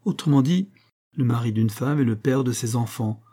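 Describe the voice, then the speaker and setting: A man's voice: medium pitch, normal speed, warm tone, lightly acted. A man voicing a cruel, mocking husband in a dramatic audiobook, sneering and coldly domineering. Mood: menacing. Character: cruel, mocking estranged husband, menacing and smug.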